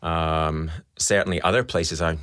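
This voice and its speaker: A Scottish man with a very deep voice speaks as a fertility specialist in an interview. He explains things in a measured way, pausing on ums as he gathers his thoughts. The sound is dry and close, with no room echo. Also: fairly even intonation, a bit bright, clean tone. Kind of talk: expert interview answers.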